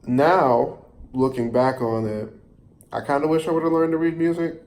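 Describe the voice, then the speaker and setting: A man telling a personal story casually, in a halting, rambling way, often rephrasing and repeating himself. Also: a little high, darkish tone. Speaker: storyteller vlogger.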